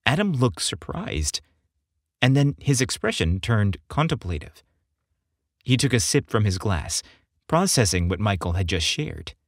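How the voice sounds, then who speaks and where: Expressive male narrator, dry sound. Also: on the low side, unhurried, dry recording. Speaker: audiobook narrator. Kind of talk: scripted story narration.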